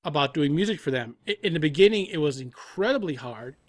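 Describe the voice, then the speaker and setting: A man's voice: on the high side, lively intonation, fast, no room sound. A man chatting casually as an interview guest, telling stories with repeated words and asides. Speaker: guest, composer and audio drama creator. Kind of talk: casual chat.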